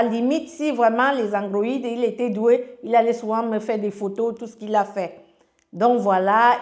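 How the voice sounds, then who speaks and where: Halting Cameroonian woman telling her story. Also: on the high side, darkish tone. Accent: Cameroonian French. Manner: halting, frequent fillers and restarts, relays others' words in dialogue. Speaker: guest sharing a personal testimony. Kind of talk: casual spoken storytelling.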